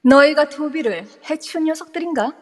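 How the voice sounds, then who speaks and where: A woman's voice: high voice, expressive, fairly quick. A woman voicing a vengeful young female ghost who threatens and commands in an amateur audio drama. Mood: menacing. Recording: long echoey reverb.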